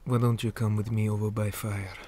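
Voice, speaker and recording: A man playing a weary, stern orc commander in an ASMR roleplay, speaking in a hushed, deliberate manner that often drops toward a whisper. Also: fairly low pitch, level tone, a little hiss or hum, natural voice. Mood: calm, probing. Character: weary male orc commander, stern, measured, dutiful soldier. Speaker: interrogator in a roleplay.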